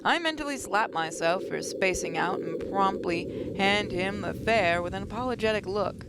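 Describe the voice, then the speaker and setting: A woman's voice: medium pitch, moderate pace, heavy background noise. A woman hosting a gaming video, reading visual novel text aloud expressively with casual commentary.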